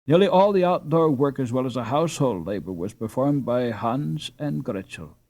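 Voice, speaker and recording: A man's voice: medium pitch, expressive, normal speed, warm tone. Man narrating a classic storybook. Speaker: audiobook narrator.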